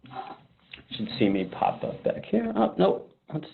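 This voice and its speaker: A man's voice: fairly high pitch, wildly swooping pitch, slow pace, gravelly, a little hiss or hum. A man presenting a product webinar casually, informal and thinking aloud in a hesitant way. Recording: phone-like narrow-band webinar audio.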